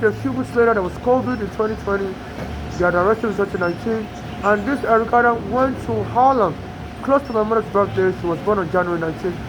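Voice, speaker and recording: A woman's voice: mid-range voice, noisy background. Aggrieved woman with a Nigerian-tinged accent. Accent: Nigerian-tinged American English. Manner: rambling, aggrieved, with frequent restarts. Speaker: immigrant telling her story. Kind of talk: personal monologue to camera.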